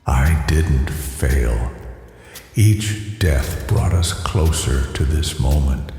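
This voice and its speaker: A man's voice: very deep voice, lively intonation, very slow, creaky, dark tone, exaggerated voice. An actor playing an arrogant, frantic male villain with menacing threats in a cinematic audio drama. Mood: bitter, defensive. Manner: menacing, clipped retorts and threats. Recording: very echoey chamber with music behind.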